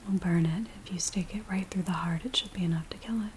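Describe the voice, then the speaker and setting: A woman playing an anxious, earnest villager in a hushed, breathy, hesitant ASMR roleplay voice. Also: lowish voice, quick pace, close to an everyday voice. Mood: worried, pleading. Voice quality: breathy, whispery soft voice. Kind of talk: soft-spoken ASMR roleplay. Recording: steady rain noise behind close soft voice. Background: rain ambience.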